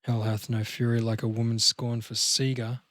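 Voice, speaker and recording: A man's voice: mid-range voice, little pitch movement, normal speed, a bit bright, no echo, natural voice. A man playing a devastated, freshly dumped young slacker with a downcast, wisecracking manner in a table read of a film comedy. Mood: glum, sardonic.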